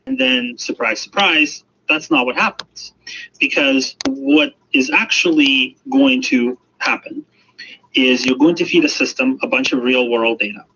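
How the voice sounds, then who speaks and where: A man's voice: medium pitch, unhurried, bright, forceful tone. A man giving emphatic explanations in an interview as an expert, often repeating phrases for emphasis. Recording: muffled, noisy radio-like sound.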